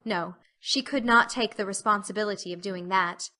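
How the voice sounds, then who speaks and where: Bright-voiced woman narrating a story. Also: medium pitch, normal speed. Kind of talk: scripted audiobook narration.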